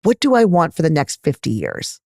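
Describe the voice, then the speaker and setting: A middle-aged woman with a Texas accent, a gynecologist answering interview questions in an animated, candid, emphatic way. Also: deep voice, normal speed, no echo, studio quality. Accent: Texan. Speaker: gynecologist guest.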